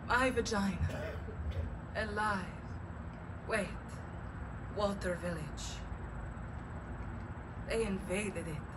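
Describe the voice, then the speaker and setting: An actress playing a grieving, haunted survivor of war, speaking a poetic monologue in spare, weighty fragments at a staged reading. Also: female voice, mid-range voice, takes it slowly, long pauses, lightly acted. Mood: numb, grieving. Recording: noisy live venue recording.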